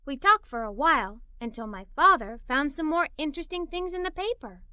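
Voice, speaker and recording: Woman playing a high, bright, curious little girl. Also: fairly quick, dry recording, clean studio sound, full character voice. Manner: animated, expressive, wide-eyed. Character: curious, excitable young girl narrating her adventures. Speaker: storyteller. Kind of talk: scripted children's story read-aloud.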